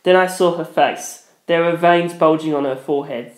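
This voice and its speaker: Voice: medium pitch, fairly even intonation, normal speed. A narrator reading a dramatic first-person story. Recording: echoey room.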